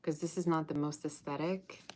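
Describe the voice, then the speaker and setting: Low-voiced chatty woman tarot reader. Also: fairly even intonation, normal speed, mellow, a little hiss or hum. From Russia. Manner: chatty, teasing, sings snatches of old songs. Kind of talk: livestream chat and tarot reading.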